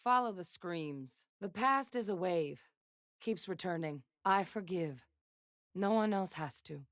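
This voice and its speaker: A woman's voice: lowish voice, expressive, measured pace, fairly bright tone, no room sound. An actress playing a resolute, haunted woman sentinel gunslinger back from death in a video game, solemn and commanding with dry wit. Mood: brooding, resolute. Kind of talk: video game character voice lines.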